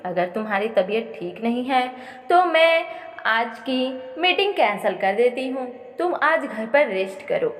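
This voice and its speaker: A woman's voice: a little high, very animated, a little slow, reverberant, big-room sound. A woman narrator voicing a worried, caring female assistant in an audio story. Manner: concerned, solicitous.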